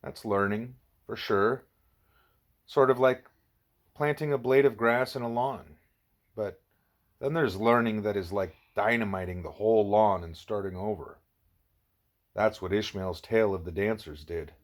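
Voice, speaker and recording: A man's voice: on the low side, measured pace, pauses often. A man narrating a first-person novel as an audiobook reader.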